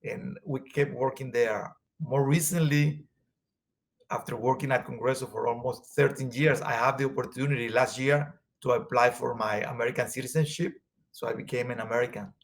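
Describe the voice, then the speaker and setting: A man from Venezuela whose first language is Spanish, speaking in a Venezuelan accent in an earnest, halting way with many fillers as an interview guest. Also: mid-range voice, fairly even intonation, vocal fry. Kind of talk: conversational interview.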